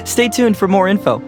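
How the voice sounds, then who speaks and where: A man voicing a playful, self-assured young detective in a video game, airy, teasing and a little smug. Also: sits high. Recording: music playing behind voice.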